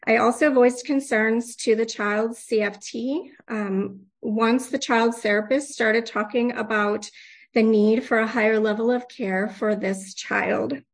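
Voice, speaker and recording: A woman's voice: a little high, steady tone. Congested woman reading a formal statement. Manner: formal, measured, clears throat. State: congested with a cold. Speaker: complainant at a board hearing.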